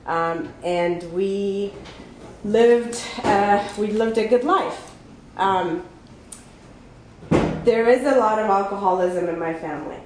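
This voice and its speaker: A woman from Ethiopia giving an unprepared personal testimony at a recovery meeting, halting and candid with many fillers and restarts. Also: medium pitch, a little hiss or hum. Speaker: speaker sharing her story at a recovery meeting. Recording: echoey room with faint ambience.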